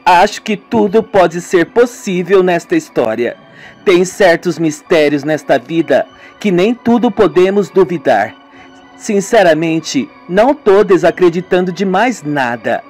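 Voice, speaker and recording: A man's voice: high voice, expressive, a little slow, a little mellow, faint music behind. A man reading the part of an uneasy young woman who muses about mysteries she no longer doubts.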